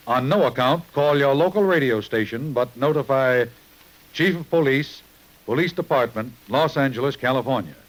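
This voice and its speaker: A male bulletin reader from mid-century radio with a medium-pitched voice. He speaks formally and with official emphasis, on an old broadcast transcription. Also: low-quality recording. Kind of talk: 1950s radio scripted bulletin.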